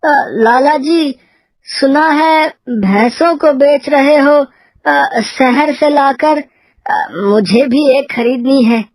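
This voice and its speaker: A woman's voice: high voice, slow, dry recording. A woman voicing a poor, hesitant, worried male farmer in a cartoon village story. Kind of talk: cartoon moral story dialogue.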